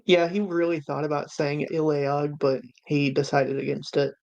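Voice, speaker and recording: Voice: mid-range voice, little pitch movement, normal speed, a little mellow, muffled recording. A player in a tabletop game, chatting casually at the table. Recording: music under the voice. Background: background music.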